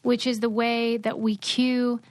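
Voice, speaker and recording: A woman's voice: a little high, level tone, measured pace, a little mellow. Chatty woman co-hosting a podcast. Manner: chatty, reads ad copy. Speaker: podcast co-host. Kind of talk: casual chat.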